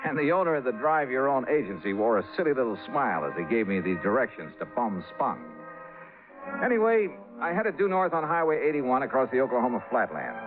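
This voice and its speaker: An actor playing a wisecracking, worldly male insurance investigator in a 1950s radio drama, narrating in a wry, hard-boiled way with dramatic pauses. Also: medium pitch, big pitch swings, narrow, tinny audio, close to an everyday voice. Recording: old band-limited radio recording with music.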